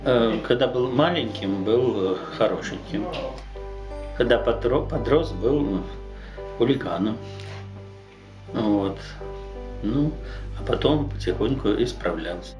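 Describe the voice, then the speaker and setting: A man talking about his youth in a documentary interview, unhurried and hesitant. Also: medium pitch, lively intonation, over music. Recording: echoey room.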